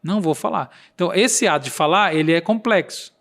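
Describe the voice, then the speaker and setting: A man's voice: a little high, fairly quick, fairly bright tone. A man with a regional accent, a podcast guest explaining earnestly and acting out quoted dialogue. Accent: Brazilian Portuguese regional accent.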